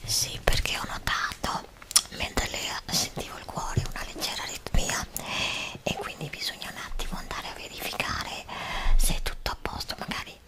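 A woman doing an ASMR medical roleplay as a doctor, speaking gently and hesitantly into a close microphone. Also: moderate pace, low-quality recording. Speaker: ASMR performer playing a doctor. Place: small indoor setup with dark backdrop. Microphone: desktop condenser microphone, close up.

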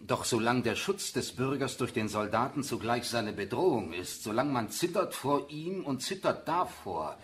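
An actor playing a grave, indignant pastor, earnest and moralizing, in a radio play. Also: male voice, medium pitch, animated, moderate pace, hardly any pauses, a bit bright, a little hiss or hum, lightly acted. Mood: grave indignation. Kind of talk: radio drama acting.

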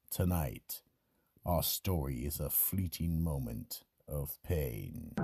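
Extremely deep, husky, slow male narrator. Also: mellow, no echo, clean studio sound. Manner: solemn, ominous storytelling. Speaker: narrator and host. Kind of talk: scripted mystery radio narration.

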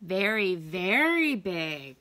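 Young lady reading a picture book to kids, bright voice, takes her time, draws words out. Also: mid-range voice, dry recording. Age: young adult. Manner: expressive, deliberate, drawn-out emphasis. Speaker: children's story time reader. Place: small indoor room at home.

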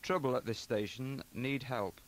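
A man's voice: mid-range voice, a little slow, a little hiss or hum. Man reading short scripted meanings for a training tape, medium voice. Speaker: announcer on an instructional tape.

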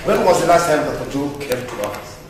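An actor playing a hospital director in a film drama, authoritative and curt. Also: male voice, a little high, expressive, a little fast, natural voice. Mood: stern. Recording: echoey room, music underneath.

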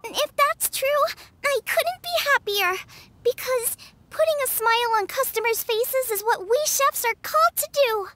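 A woman's voice: very high-pitched, measured pace, heavily acted. A bubbly young girl chef. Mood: happy. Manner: lively, emotional, bright delivery. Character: cheerful young female chef, eager and earnest. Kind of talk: video game voice acting.

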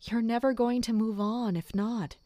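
An actress playing a guarded but caring woman in an audio drama, speaking in a calm, measured, gentle way. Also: a little high, no echo, natural voice. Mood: gentle, concerned. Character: guarded, caring woman, composed royal investigator. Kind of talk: audio drama acting with first-person narration.

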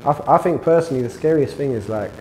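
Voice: mid-range voice, quick pace, dark tone, a little hiss or hum, poor audio. An interviewer asking casual questions, often laughing and affirming with yeah.

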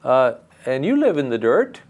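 A man's voice: mid-range voice, sing-song, normal speed, a little mellow. An older man voicing a hungry little girl fairy who begs for food. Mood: pleading.

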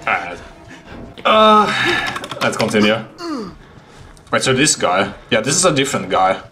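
Young man streaming, very lively pitch. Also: unhurried. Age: young adult. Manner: amused, reactive. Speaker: livestreaming gamer. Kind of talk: casual game commentary with reactions. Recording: noisy stream with game sound.

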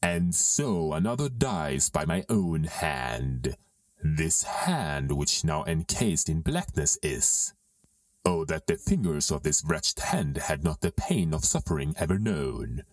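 A menacing, commanding dark lord in a Shakespearean audio drama. His voice is extremely low, swings dramatically in pitch and takes its time over each archaic line, set a little back from the mic. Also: very animated, no echo, clean studio sound, distant mic, faint music behind, exaggerated voice. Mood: brooding. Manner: slow, declamatory, archaic verse delivery.

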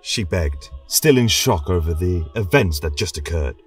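A man narrating a scripted fantasy tale as a podcast storyteller, solemn, dramatic and measured. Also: low voice, animated, husky, recorded from a distance. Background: choral music.

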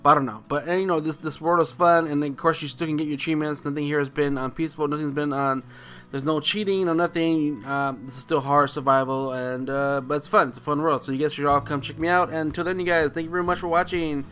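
A man's voice: a little high, steady tone, fast, fairly bright tone, smooth, faint music behind. A man hosting a casual game walkthrough, rambling and enthusiastic, with many restarts and fillers. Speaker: gaming channel host. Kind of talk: casual gameplay walkthrough commentary.

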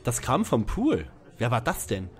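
A man with a husky voice playing a blunt, impatient amateur detective in a parody audio play. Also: medium pitch, wildly swooping pitch, a little slow, soft background music. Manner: blunt, direct. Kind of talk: parody audio drama acting.

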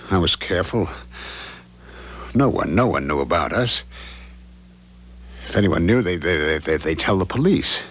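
An actor playing a guilty husband with a secret in a 1970s radio drama, dramatic and on edge. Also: male voice, deep voice, a bit bright, breathy edge, exaggerated voice. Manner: dramatic, swinging, agitated. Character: guilty, rattled husband hiding a killing. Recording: hissy 1970s radio recording.

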